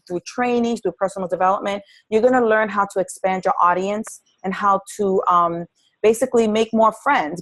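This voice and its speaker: A woman coaches new team members over a conference call, earnest and encouraging. Her voice sits at a middle pitch, and she keeps going through frequent ums and restarts. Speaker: fitness business coach leading a team training call. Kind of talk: live conference-call training presentation.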